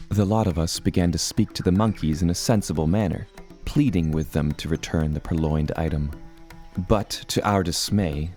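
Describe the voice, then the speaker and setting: Deep-voiced seafaring adventurer narrator. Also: male voice, a little mellow. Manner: formal, old-fashioned literary diction. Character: male seafaring adventurer telling his tale, earnest, formal. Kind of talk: scripted storybook narration.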